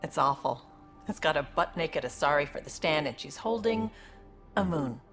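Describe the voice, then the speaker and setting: An actress playing a tough, streetwise woman in a video game, blunt and reflective, with a gravelly voice. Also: low-pitched, expressive, moderate pace, a bit bright, soft background music. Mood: reflective, hopeful. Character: tough, streetwise woman seeking a fresh, honest start.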